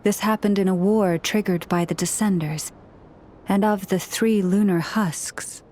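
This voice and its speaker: A woman playing a serene, modest young priestess in a video game, speaking in a measured, solemn, formal way. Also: medium pitch, steady tone, a little mellow, slight background noise, close to an everyday voice. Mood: solemn, reverent. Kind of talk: video game storytelling dialogue.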